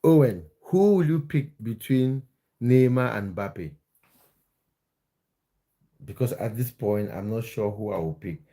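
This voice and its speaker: A man's voice: medium pitch, a little slow, long pauses, warm tone. A man from Cameroon hosting a casual football livestream, chatting with viewers and often restarting his words. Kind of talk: casual live chat with viewers.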